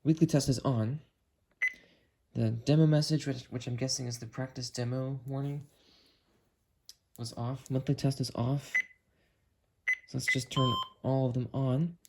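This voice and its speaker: A man narrates a hands-on demonstration in a casual, unhurried way, thinking aloud as he tests things. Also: mid-range voice, fairly even intonation, creaky, heavy background noise. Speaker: video creator demonstrating a weather radio.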